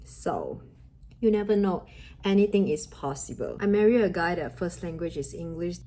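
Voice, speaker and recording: Malaysian lady vlogging, mandarin first language, low-ish mellow voice, earnest and encouraging. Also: sing-song, normal speed. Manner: earnest, encouraging, with grammar slips of a second-language speaker. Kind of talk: motivational talk to camera.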